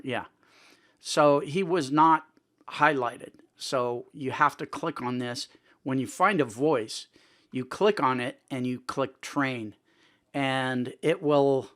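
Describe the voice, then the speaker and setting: A man's voice: mid-range voice, fairly bright tone. A man presenting a casual tutorial in a conversational way, thinking aloud as he goes. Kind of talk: casual screen-recording tutorial talk.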